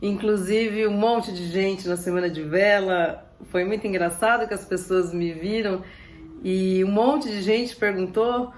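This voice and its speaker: A woman's voice: medium pitch, steady tone, measured pace, darkish tone, slight background noise. A woman from Ilhabela, chatting casually to camera about sailing while losing her voice and coughing. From Ilhabela, Brazil. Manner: chatty and upbeat, with frequent laughs and asides. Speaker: sailing vlogger.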